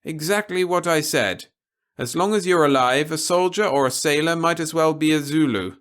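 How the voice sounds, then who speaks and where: A man performs a stern, articulate official from an audiobook story. The voice is lifted well above a middle register, with deliberate, emphatic and formal delivery, like a lecture to someone stubborn. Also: on the high side, a bit bright, pitched above the performer's own voice, lightly acted. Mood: blunt, explanatory. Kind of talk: audiobook character dialogue.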